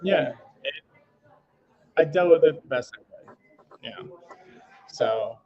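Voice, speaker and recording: A man's voice: a little high, takes it slowly. Laid-back man in his thirties. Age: 30s. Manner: relaxed, many fillers like "you know". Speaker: chef guest. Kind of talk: casual interview chat. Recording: muffled lo-fi audio.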